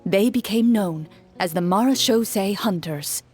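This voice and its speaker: A woman narrates a game story in a calm, measured way, addressing the listener directly. Her voice sits at a medium pitch, with faint music underneath. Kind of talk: scripted second-person story narration.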